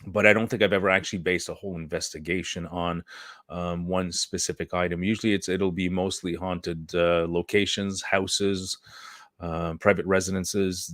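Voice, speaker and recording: A hoarse man with a lowish voice hosts a live stream, chatting casually to viewers. He rambles and clears his throat often. The sound is dry and close. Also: fairly bright tone, no echo. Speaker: host.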